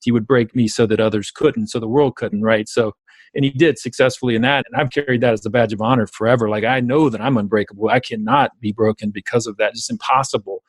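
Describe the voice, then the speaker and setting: A man's voice: mid-range voice, fairly even intonation, quick pace, husky, no echo, poor audio. A man with a Southern US accent, a business coach talking as a podcast guest in emphatic, repetitive run-on sentences over a remote call. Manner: emphatic, repetitive, talks in long run-on stretches. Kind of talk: conversational interview.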